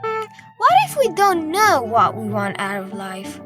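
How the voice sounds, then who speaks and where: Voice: mid-range voice, sing-song, measured pace, over music. A performer playing an earnest, naive little schoolgirl asking questions in a horror audio drama. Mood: innocent, curious. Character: earnest, innocent little schoolgirl named Dolly.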